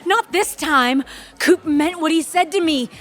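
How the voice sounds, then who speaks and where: A woman's voice: high voice, a little slow, a bit bright. A woman playing a blunt, weary law firm associate in an audio drama. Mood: stunned realization.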